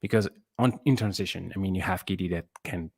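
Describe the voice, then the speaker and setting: Low-voiced man talking basketball, searching for phrasing. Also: a little fast, gravelly, husky, no echo, clean studio sound. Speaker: sports podcast co-host. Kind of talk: casual basketball analysis.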